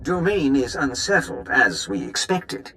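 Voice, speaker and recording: An actor playing a grave, cautious conspirator in a video game, speaking in a halting, solemn way. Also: male voice, medium pitch, very animated, gravelly, sharp tone, slight background noise, exaggerated voice. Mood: solemn, uneasy.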